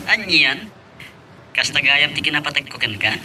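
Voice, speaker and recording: Man acting smitten young working man, Ilocano accent, jaunty, highish sharp voice, radio drama, faint noise. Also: lively intonation, normal speed. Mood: eager, courting.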